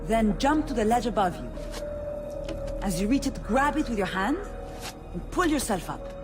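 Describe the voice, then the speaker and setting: Young female thief played by a woman, Italian accent, blunt and cheeky, highly animated game dialogue. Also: normal speed, over music, natural voice. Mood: brisk, instructing. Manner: blunt, teasing, slips into Italian. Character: brash, foul-mouthed young Venetian woman thief, teasing, streetwise. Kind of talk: video game voice acting.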